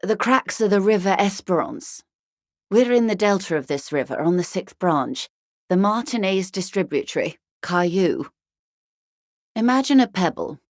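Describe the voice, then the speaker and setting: A woman's voice: medium pitch, sing-song, moderate pace, no room sound, clean studio sound. An actress playing a genteel, worldly older woman in a video game, wry and composed, with articulate, politely condescending phrasing. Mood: wistful, worldly. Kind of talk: video game character dialogue, measured and literary.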